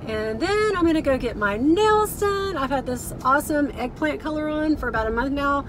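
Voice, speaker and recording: A woman's voice: high voice, expressive, moderate pace, background noise. A middle-aged woman chatting casually to viewers in a rambling, chatty way, recorded inside a car. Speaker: vlogger, thrift reseller.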